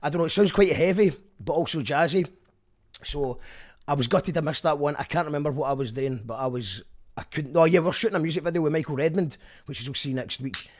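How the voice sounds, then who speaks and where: A man's voice: on the high side, a little fast. Rambling man on radio. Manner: rambling, animated, self-correcting. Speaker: radio host and band musician. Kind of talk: casual chat.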